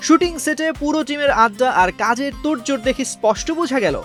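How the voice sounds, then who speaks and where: A man's voice: very high voice, expressive, fast, a bit bright, soft background music. A man with a Bangladeshi accent reading scripted entertainment news as a narrator. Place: voice-over over background music.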